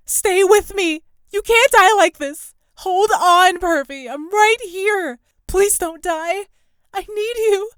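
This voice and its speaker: A woman's voice: extremely high pitch, background noise, heavily acted. A woman voicing an exasperated young woman who scolds and frets over a reckless friend. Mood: panicked. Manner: scolding, emotional.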